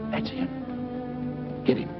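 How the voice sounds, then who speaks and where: An actor playing a brisk small-town man out searching, in a 1940s film style. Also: close to an everyday voice. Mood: urgent. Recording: muffled old film soundtrack with music.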